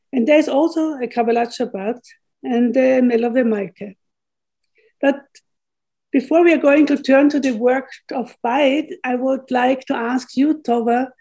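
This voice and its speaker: A woman interviewer reading a prepared introduction and asking a question in a formal, measured way. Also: on the high side, warm tone.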